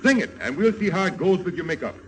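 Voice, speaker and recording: Scheming medicine show boss, higher-pitched, animated, coaxing, commanding, old radio serial. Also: male voice, fast, rough recording. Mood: jovial, scheming. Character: bossy smooth-talking medicine show kidnapper. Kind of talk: 1930s radio serial acting.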